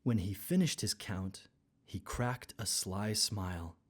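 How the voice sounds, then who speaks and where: Very low, husky woman storyteller. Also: animated, slow. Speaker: storybook narrator. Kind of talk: expressive rhyming children's read-aloud.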